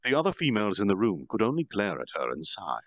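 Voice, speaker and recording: A man reads a novel aloud for his stream in an earnest voice with quick apologetic asides. His tone has a gravelly grain and moves lively up and down. The sound is close and dry. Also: lowish voice, dry recording. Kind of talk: casual storytime reading.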